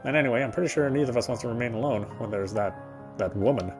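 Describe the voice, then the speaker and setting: A man reading the first-person narration of a horror visual novel in a measured, brooding way. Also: mid-range voice, over music. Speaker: reader.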